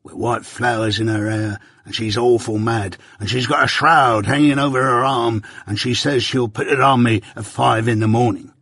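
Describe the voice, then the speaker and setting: A man's voice: medium pitch, fairly even intonation, moderate pace, few pauses, fairly bright tone, breathy edge, no room sound, studio quality. A man voicing a dying, ghost-haunted male convict who raves in frightened rustic dialect. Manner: terrified, raving pleas in rustic dialect.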